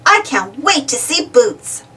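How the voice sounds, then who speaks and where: A woman's voice: sits high, sing-song, takes it slowly, a bit bright, far from the mic, full character voice. A woman voicing a cheerful, eager little girl adventurer in a children's storybook, exclaiming and putting questions to the listener. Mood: eager, alert. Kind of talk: children's storybook read-aloud.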